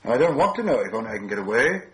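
A man's voice: medium pitch, sing-song, very fast, fairly bright tone, rough recording. A man voicing a lost, anxious but politely defiant little hobbit in an emphatic storybook reading. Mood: flustered.

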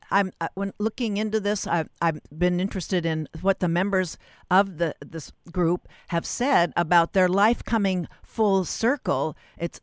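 A woman's voice: mid-range voice, dry recording, clean studio sound. A woman hosting a public affairs interview, phrasing things formally and carefully with deference to the guest. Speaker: radio interview host.

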